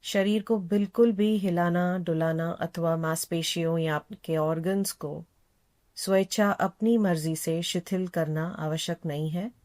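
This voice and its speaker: A woman yoga instructor leading a guided meditation in a calm, unhurried, soothing manner, repeating phrases gently. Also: on the low side.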